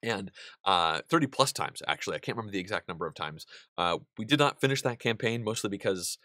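A man in his thirties hosting a board game channel, seated at a desk in a small studio and answering viewer questions in a relaxed, chatty way with plenty of ums and restarts. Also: lowish voice, quick pace, dry recording. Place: indoor studio room with a wall of game pieces.

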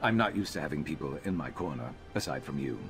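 An actor playing a brooding, determined reptilian warrior in a video game, terse and guarded. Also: male voice, rumbling low, expressive, fast, music in the background. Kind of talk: video game character dialogue.

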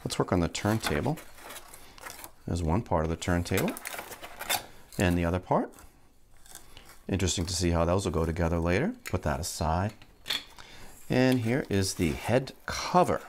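A man's voice: low voice, lively intonation, moderate pace, long pauses, background noise. A man hosting a hands-on unboxing, casually narrating each step as he works without hurry. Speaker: host of a vintage electronics channel.

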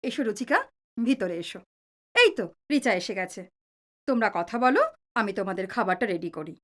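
A woman's voice: medium pitch, lively intonation, moderate pace, fairly bright tone, close to an everyday voice. A woman playing a caring middle-aged mother who soothes and mildly chides her family in an audio drama. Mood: welcoming. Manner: soothing, mildly chiding, homely. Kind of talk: Bengali audio drama acting.

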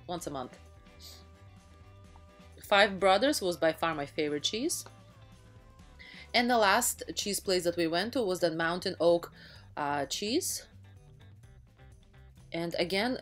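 A woman in her thirties narrating a travel vlog in a casual, enthusiastic voice-over, with frequent fillers and restarts. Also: on the low side. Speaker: travel vlogger. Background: faint background music.

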